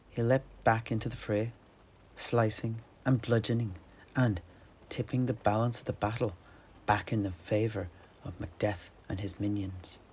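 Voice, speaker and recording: A man narrating a fantasy story dramatically, in measured, ornate phrases. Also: fairly low pitch, a little mellow, a little hiss or hum.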